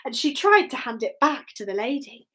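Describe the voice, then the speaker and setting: A woman telling an interactive bedtime story to young children, expressive and encouraging, with sing-song phrasing and dramatic pauses. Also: sits high.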